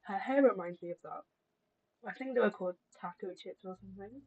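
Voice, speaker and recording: A woman game streamer with a husky voice, chatting casually in live stream commentary. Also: mid-range voice, brisk, darkish tone, highs cut off. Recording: stream with music behind.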